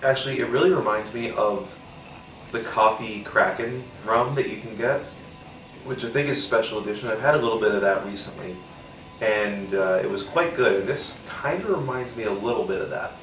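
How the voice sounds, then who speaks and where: A man's voice: on the low side, moderate pace, fairly bright tone, roomy sound, far from the mic, soft background music, low-quality recording. A man with a congested, nasal voice from a cold, rambling casually with lots of fillers and casual swearing while he tastes a beer. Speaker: podcast host. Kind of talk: casual solo beer-tasting chat.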